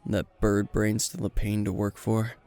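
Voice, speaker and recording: A man playing a weary, gruff veteran hero and teacher at a bar, terse and dry, in an intimate roleplay spoken to the listener. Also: fairly low pitch, monotone, measured pace, darkish tone. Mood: dry, relaxing. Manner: terse, guarded, dry, sighing.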